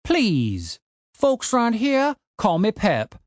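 Male reader as grumpy old rural man, gruff drawl, pitched up, slow. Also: huge pitch swings, dry recording, studio quality, heavily acted. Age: older. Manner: gruff, drawling backwoods grumbling. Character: cranky old rural man who keeps zombies.

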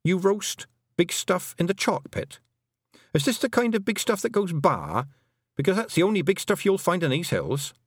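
A man's voice: mid-range voice, huge pitch swings, fairly quick, slightly rough, dry recording, clean studio sound, voice pushed up, heavily acted. A man voicing a young girl in a storybook reading, earnest, anxious and insistent, with urgent questions. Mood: demanding.

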